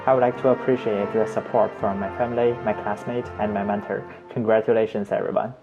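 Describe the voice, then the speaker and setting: A man's voice: on the low side, steady tone, fast. A man, a master's student, giving a prepared introduction and thanks. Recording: dull lo-fi audio, music behind.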